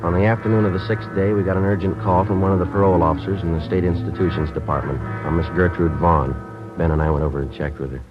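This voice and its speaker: An actor playing a stoic police sergeant who narrates a radio crime drama in terse, matter-of-fact sentences. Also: male voice, deep voice, fairly even intonation, quick pace, few pauses, lightly acted. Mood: deadpan, grim. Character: stoic world-weary male police detective. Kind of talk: 1950s radio police drama, clipped first-person narration. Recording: old muffled radio recording with music.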